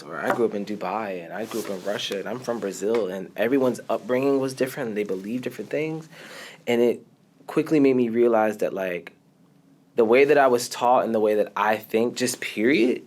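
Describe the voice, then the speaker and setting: A man, a podcast guest, speaking in a casual interview with animated storytelling that often laughs and trails off quietly. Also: fairly low pitch, slight background noise.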